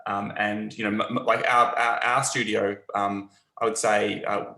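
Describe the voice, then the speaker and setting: A man's voice: lowish voice, flat delivery, measured pace, bright, forceful tone. A man with an Australian accent leading a design studio, speaking in a hesitant panel chat with repeated words. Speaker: agency leader, panelist. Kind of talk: conversational panel talk. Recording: echoey room.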